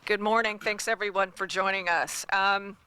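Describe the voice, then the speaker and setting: A woman's voice: mid-range voice, fairly even intonation, normal speed, sharp tone, slight background noise, low-quality recording. A woman speaking as a corporate sponsor representative at a press event, in a formal, appreciative manner. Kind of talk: public remarks at a press event.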